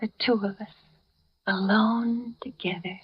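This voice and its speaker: High-voiced, naive bride, old radio. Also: female voice, fairly even intonation, measured pace, husky. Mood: rapturous. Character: romantic, naive, nervous bride and diarist in a thriller. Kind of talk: 1940s radio acting. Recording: dull, noisy 1940s radio recording.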